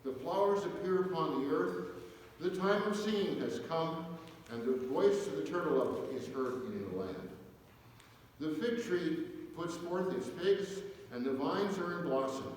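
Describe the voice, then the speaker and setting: A man reading scripture aloud at a church service, with measured, deliberate phrasing and pauses. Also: fairly high pitch, expressive, darkish tone. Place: inside a church, reverberant. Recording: echoey church hall, distant mic, faint noise.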